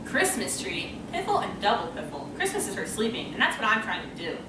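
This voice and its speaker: A woman's voice: mid-range voice, wildly swooping pitch, brisk. A woman voicing a grumpy, scornful male badger in a storybook readers' theater. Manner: scornful, grumbling, exclaiming. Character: grumpy male badger, sleepy and sensible loner. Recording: echoey room, distant mic, noisy.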